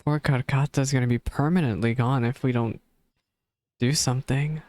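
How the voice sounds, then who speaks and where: A game streamer giving casual, empathetic live reactions and musing haltingly. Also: medium pitch, fairly even intonation, darkish tone. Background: game music.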